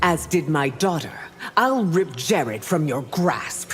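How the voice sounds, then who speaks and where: Widowed game empress: low, swooping, scornful voice, long reverb over music. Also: female voice, sing-song, slow pace, exaggerated voice. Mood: bitter, imperious. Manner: regal, scornful. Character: bitter, imperious widowed empress, a mother. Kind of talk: video game character dialogue.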